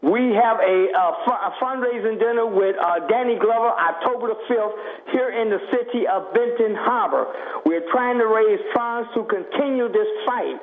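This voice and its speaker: Male phone-in guest, activist preacher, high voice, emphatic repetition, tinny telephone sound. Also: normal speed, few pauses. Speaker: reverend and activist, radio guest. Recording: thin phone line with faint noise.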